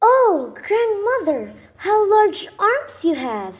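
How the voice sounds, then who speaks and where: Woman playing very high-pitched innocent little girl. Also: big pitch swings, takes it slowly, highs cut off, exaggerated voice. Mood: wondering. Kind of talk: children's radio drama acting.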